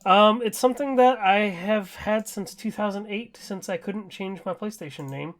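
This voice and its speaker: A man's voice: sits high, normal speed. A man co-hosting a podcast in casual chat, rambling enthusiastically and leaning on "like" as he goes. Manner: rambling, enthusiastic, heavy use of "like". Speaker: podcast co-host.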